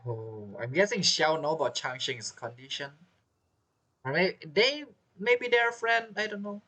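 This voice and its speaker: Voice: medium pitch, animated, a little slow, pushed and bright. A game streamer giving chatty, reactive commentary over a playthrough. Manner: chatty, reactive, often laughing. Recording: game music behind the voice.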